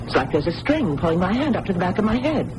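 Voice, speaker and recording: A woman's voice: lowish voice, very animated, fast talker. An actress playing a flighty, petulant young amnesiac woman in a 1980s radio drama, blunt and girlish. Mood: anxious, annoyed. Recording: noisy old radio broadcast recording.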